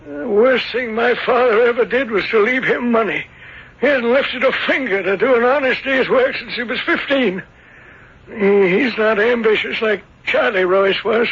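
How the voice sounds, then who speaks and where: A man's voice: extremely high pitch, normal speed, slightly rough, full character voice. An actor playing a flustered, defensive small-town innkeeper in a radio drama, stammering and folksy as he grumbles. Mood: scornful, evasive. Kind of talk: 1970s radio drama acting. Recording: dull lo-fi old radio broadcast.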